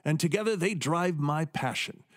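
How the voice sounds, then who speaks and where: A man's voice: on the high side, lively intonation, normal speed, natural voice. A man playing a charming, brilliant neurosurgeon in a radio drama, speaking expansively and persuasively. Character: brilliant, charming middle-aged male neurosurgeon.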